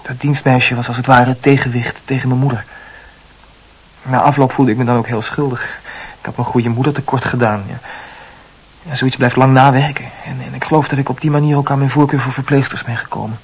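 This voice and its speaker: An actor playing a self-absorbed, intense man in a Dutch radio play, talkative and self-analysing, with halting repetitions. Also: mid-range voice, flat delivery, slightly rough, low-quality recording. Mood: confessional.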